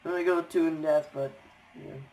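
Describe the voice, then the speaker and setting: A young man with a raspy voice and a stuffy nose, rambling tiredly with many fillers as he comments on his gameplay. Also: on the high side, fairly even intonation, normal speed, darkish tone, low-quality recording. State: stuffy nose, sore throat.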